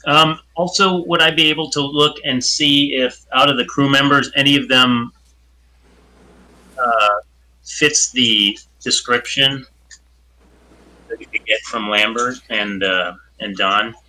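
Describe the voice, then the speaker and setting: A man's voice: medium pitch, fairly even intonation, takes it slowly, bright, forceful tone, low-quality recording. A man playing a tabletop game with friends, asking the game master questions as he thinks aloud. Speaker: player. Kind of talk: casual tabletop game chat.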